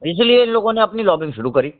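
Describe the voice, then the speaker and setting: A man's voice: high-pitched, sing-song, fast. A man talking politics casually on a phone call, explaining insistently as a journalist would. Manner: insistent, explanatory, addressing the listener as brother. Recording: tinny, narrowband phone call recording.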